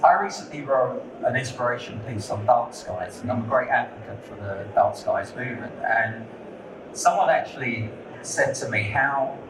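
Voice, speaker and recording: A man's voice: medium pitch, moderate pace. A man speaking as a lighting designer on a conference panel, candid and conversational, often repeating words as he thinks. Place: conference hall. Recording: echoey hall, distant mic, noisy.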